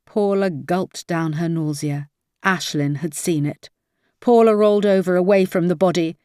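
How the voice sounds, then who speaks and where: Low-pitched woman narrating a crime novel. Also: moderate pace, darkish tone, no echo, clean studio sound. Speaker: audiobook narrator.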